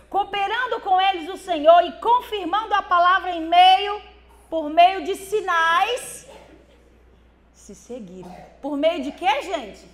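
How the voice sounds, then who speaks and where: A middle-aged woman from Brazil with a Minas Gerais accent, preaching a church sermon and building to loud, fervent exhortation with drawn-out emphatic words. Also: very high-pitched. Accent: Brazilian Portuguese from Minas Gerais. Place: church hall.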